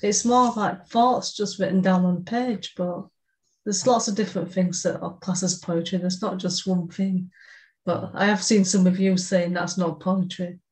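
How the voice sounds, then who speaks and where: A woman's voice: on the low side, fairly even intonation, moderate pace, darkish tone, poor audio. A woman co-hosting a podcast who reads a poem aloud and then talks it over thoughtfully. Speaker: podcast co-host. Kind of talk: reading a poem aloud, casual chat.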